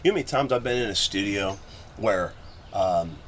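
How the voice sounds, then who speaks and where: A man with a gravelly voice talking casually and ramblingly as a musician guest on a podcast. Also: lowish voice, expressive, a little fast. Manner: rambling, colloquial, occasional swearing. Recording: clear background noise.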